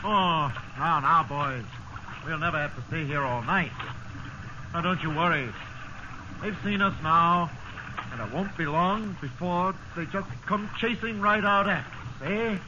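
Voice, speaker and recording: An actor playing a commanding, reassuring sea captain adrift in a lifeboat, in 1950s radio drama style. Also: male voice, fairly high pitch, measured pace, natural voice. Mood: soothing reassurance. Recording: muffled noisy old radio recording.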